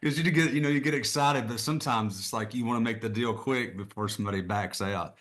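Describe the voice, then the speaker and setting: A man's voice: medium pitch, fast talker, fairly bright tone. A middle-aged man, a guest musician, chatting casually and rambling a little, laughing often. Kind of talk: casual conversation.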